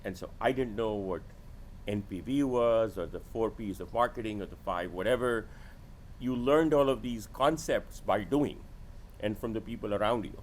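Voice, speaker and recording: A man's voice: on the low side, moderate pace, slight background noise, poor audio. A middle-aged man telling his story conversationally as an interview guest, candid and self-deprecating. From born in India, grew up in Sudan and Nigeria. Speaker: podcast guest, venture capitalist.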